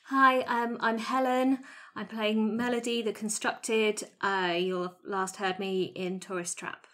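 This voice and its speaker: A woman's voice: medium pitch, little pitch movement, a little slow, a bit bright. A woman talking casually as a player on a role-playing podcast. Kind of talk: casual panel chat.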